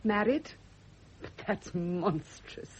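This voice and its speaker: A woman's voice: mid-range voice, animated, slow. An actress playing an embittered, sleepless, guilt-ridden woman in a classic radio drama, speaking with tense emotional intensity. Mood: indignant, outraged. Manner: intense, emotional, self-justifying. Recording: muffled, noisy old radio recording.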